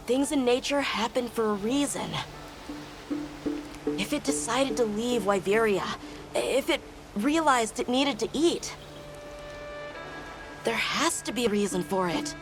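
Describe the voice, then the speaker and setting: An actress voicing an earnest young apprentice in a game cutscene, careful and determined. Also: female voice, on the high side, animated, unhurried, pauses often. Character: earnest young apprentice, eager to prove himself. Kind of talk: video game cutscene acting. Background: game score music.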